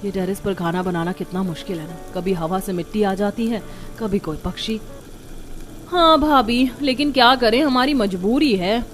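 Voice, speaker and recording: A woman playing an overworked, aggrieved young daughter-in-law in a cartoon family drama, speaking in an expressive, complaining way. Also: mid-range voice, normal speed, soft background music, close to an everyday voice. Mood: weary, busy.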